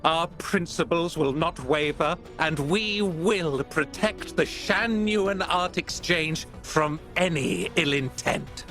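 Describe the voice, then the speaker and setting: A man's voice: on the high side, slow, hardly any pauses, over music. A male actor playing a pompous, indignant art exchange boss who speaks in formal, self-righteous oratory.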